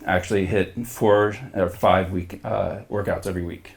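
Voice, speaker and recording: A man's voice: low voice, fairly even intonation, moderate pace, gravelly, husky. A man in his forties from Florida, sharing his personal story as a coaching client over a video call, earnest and reflective, rambling with restarts. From Florida, United States. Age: 40s. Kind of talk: candid personal testimonial.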